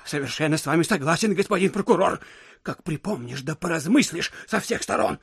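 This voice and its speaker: A circumspect, worried official in a radio play. His high, husky voice rises and falls a lot as he hems and defers. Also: animated, brisk, no room sound, clean studio sound, heavily acted. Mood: hesitant doubt. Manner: hemming, circumspect. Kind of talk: audio play acting.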